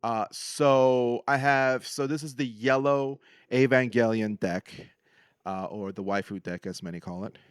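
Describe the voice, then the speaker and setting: A man's voice: mid-range voice, fairly even intonation, a little slow, smooth, no room sound, studio quality. A man hosting a podcast, casually recapping his hobby in a solo talk. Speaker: podcast host. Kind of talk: casual solo recap of card game decks and matches.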